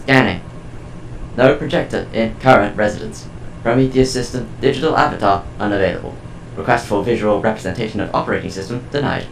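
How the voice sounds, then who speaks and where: A cold household artificial intelligence played by a man speaks low and very gravelly. It rattles out formal, precise phrases without emotion, echoing over music in an audio drama. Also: fairly quick. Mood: flat, formal.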